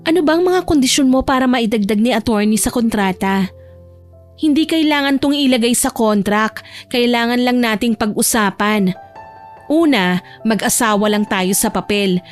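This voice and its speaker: A woman's voice: on the high side, moderate pace, faint music behind, close to an everyday voice. A woman voicing a proud, firm young bride in a romance audio drama, with an emphatic delivery. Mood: firm, bossy.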